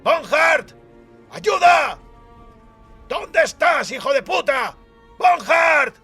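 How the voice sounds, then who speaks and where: A man's voice: extremely high pitch, expressive, very slow, pushed and bright, shouting, faint music behind, voice pushed up, heavily acted. A man voicing an alarmed, cursing villain leader who barks urgent orders in an audiobook. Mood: desperate, angry.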